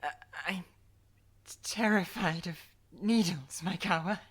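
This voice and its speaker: This is a frail, sickly young character in an audio drama, voiced by a woman. The voice is soft and halting, stumbling and restarting its words. The delivery is slow and hesitant. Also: lowish voice, breathy edge. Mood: frightened. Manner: halting, stammering, speaks softly. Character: small, sickly young person, anxious and fearful.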